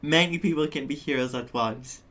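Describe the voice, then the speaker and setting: A man's voice: a little high, moderate pace, fairly bright tone. A man giving casual live commentary on a video game, empathetic and reflective, repeating himself for emphasis. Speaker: game streamer.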